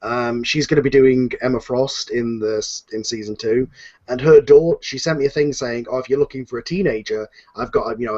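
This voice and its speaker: A man's voice: mid-range voice, a little mellow, lo-fi sound. A man hosting a casual panel discussion in a rambling, effusive way. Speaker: host and series producer.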